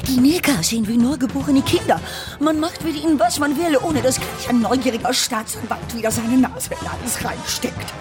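Scornful ageing paris courtesan, high voice, theatrical radio play, music behind her. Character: defiant, scheming, debt-ridden ageing Parisian courtesan. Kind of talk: German radio play acting.

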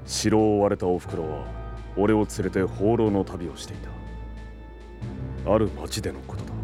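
An actor playing a brooding, cursed vampire hunter in an audio drama, telling his past in a grave, measured way. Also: deep voice, lightly acted. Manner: grave, somber, measured storytelling. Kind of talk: Japanese audio drama acting with narration.

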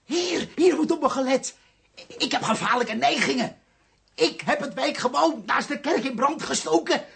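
An old fantasist in a radio drama, his voice very high and cracked with creak. He stammers, repeats himself and pleads insistently, thoroughly muddled. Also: moderate pace, a bit bright, exaggerated voice. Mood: agitated, pleading. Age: older. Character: confused, pleading old fantasist begging to be locked up. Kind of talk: radio play acting.

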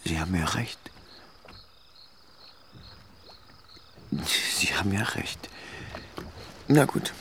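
A man's voice: low-pitched, expressive, moderate pace, background noise. An actor in a German radio drama playing a lonely, melancholy man haunted by loss, speaking hesitantly and yielding easily. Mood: dejected, hesitant.

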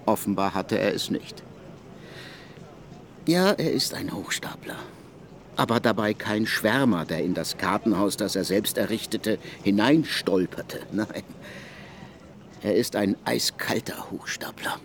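An actor in a German radio drama playing a scornful criminologist, sarcastic and accusing in a theatrical way. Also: male voice, on the low side, measured pace, slight background noise. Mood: indignant, contemptuous. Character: scornful male criminologist confronting a suspected murderer.

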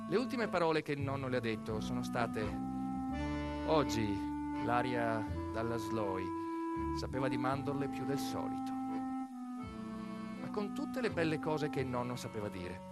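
A man performs a dramatic storytelling monologue for radio theatre, emphatic and full of deliberate pauses. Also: medium pitch, lively intonation, loud music behind the voice. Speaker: actor-narrator of a theatrical monologue.

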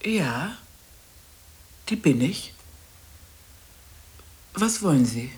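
A lowish-voiced, solitary woman in a radio drama, brusque and dismissive. She speaks very slowly and swoops widely in pitch, cutting people off to guard her peace. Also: very animated, a little mellow, heavily acted. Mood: curt, suspicious. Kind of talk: radio play acting.

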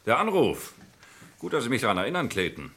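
An actor playing a pompous senior partner of a law firm, speaking in a formal, ceremonious way in an old radio crime play. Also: male voice, medium pitch, wildly swooping pitch, brisk, fairly bright tone. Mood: genial. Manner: formal, ceremonious speech-making. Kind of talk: 1950s-80s German radio crime play acting.

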